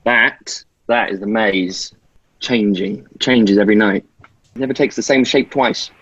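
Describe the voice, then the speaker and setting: Husky low-voiced young guide, read by a man. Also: measured pace, fairly bright tone, dull audio. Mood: grave, ominous. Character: seasoned boy leader showing a newcomer around, explaining dangers. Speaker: actor at a table read. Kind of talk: script table read.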